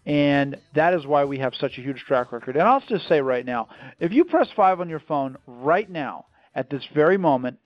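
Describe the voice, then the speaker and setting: A man giving an emphatic, salesy pitch as a company founder on a promotional call, repeating phrases for effect. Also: animated, normal speed, no room sound, muffled recording. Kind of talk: promotional interview on a conference call.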